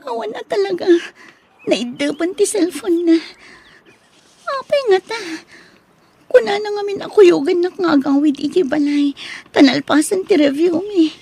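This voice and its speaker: A woman's voice: very high voice, moderate pace, gravelly, long pauses, mellow, a little hiss or hum. A woman playing a lovesick, fretful young girlfriend in a radio drama, with a plaintive, emotional delivery. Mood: worried, tearful. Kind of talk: Ilocano radio drama acting.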